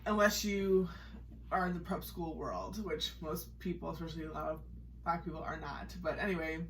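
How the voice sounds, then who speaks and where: A woman's voice: low voice, steady tone, moderate pace, distant mic, slight background noise, low-quality recording. An American woman co-hosting a podcast, telling her personal story in a casual, chatty, conversational way. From Massachusetts, United States.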